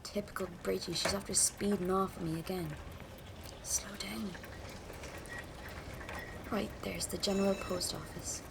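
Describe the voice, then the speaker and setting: A woman's voice: medium pitch, level tone, measured pace, gravelly, long pauses, noisy background. An actress with a Dublin Irish accent playing a tense, hardened young rebel woman, narrating a 1920s audio drama in the first person. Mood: tense, nervous.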